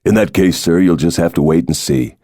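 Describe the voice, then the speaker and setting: A man doing a burly, low-voiced bodyguard. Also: brisk, dark tone, no echo, clean studio sound. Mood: dry. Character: burly, devoted male Secret Service bodyguard. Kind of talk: audiobook character dialogue.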